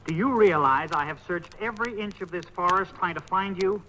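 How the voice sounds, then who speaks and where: A man's voice: high-pitched, a little fast, background noise, natural voice. A man voicing a fussy forest friend who lectures in an exasperated way.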